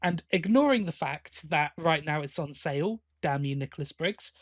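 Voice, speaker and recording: A man's voice: fairly high pitch, lively intonation, moderate pace, no room sound, studio quality. A man co-hosting a podcast, talking in a casual, chatty and enthusiastic way. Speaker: podcast co-host.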